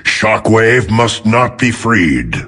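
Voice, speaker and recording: An actor playing a noble giant robot leader in a video game, with a gravelly, husky voice and a solemn, authoritative, deliberate delivery. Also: male voice, lowish voice, sing-song, fairly bright tone, heavily acted. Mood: focused. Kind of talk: video game character acting.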